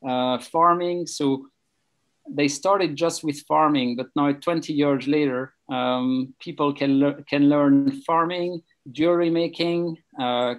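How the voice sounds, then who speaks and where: A man with a Swiss accent, speaking over a video call about his charity work, slow and halting with many pauses. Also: on the high side, steady tone. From Switzerland. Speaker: charity treasurer, guest speaker. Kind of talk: casual talk on a video call. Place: home near Belfast.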